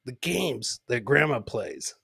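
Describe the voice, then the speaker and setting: A man's voice: mid-range voice, animated, takes it slowly, vocal fry, sharp tone, breathy edge. A man hosting a live comedy show, casually commenting on funny clips and often repeating his phrases for comic emphasis.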